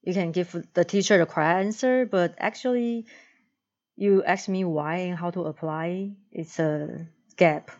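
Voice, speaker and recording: A woman with a Taiwanese accent talks about learning in a low, even voice. She picks her English words with care, pausing to find the right phrase. The recording sounds dull and lo-fi. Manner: searches for words, careful phrasing. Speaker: education student in discussion. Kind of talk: casual discussion.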